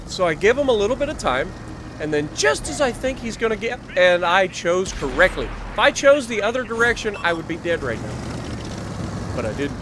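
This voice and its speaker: A man's voice: high voice, background noise. A young American man casually telling a story over tank game play in short, emphatic phrases, as host of a gaming video.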